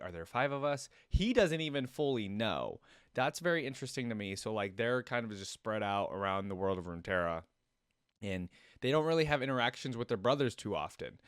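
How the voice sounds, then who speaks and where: A man's voice: mid-range voice, smooth, no echo, clean studio sound. A man hosting a reaction video, talking in a casual, enthusiastic and rambling way.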